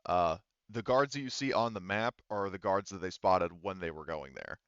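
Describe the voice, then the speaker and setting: Low, smooth man, dull recording. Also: normal speed, fairly bright tone, no echo. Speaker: game master. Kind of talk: casual tabletop roleplaying game narration.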